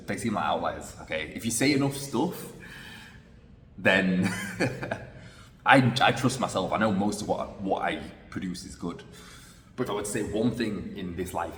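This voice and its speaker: Deep-voiced man musing in an echoey room. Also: a little hiss or hum. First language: English. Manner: reflective, thinking aloud with restarts. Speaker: vlogger. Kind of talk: casual vlog talking to camera. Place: roomy space in Hanoi. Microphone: phone camera. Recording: echoey room with long reverb.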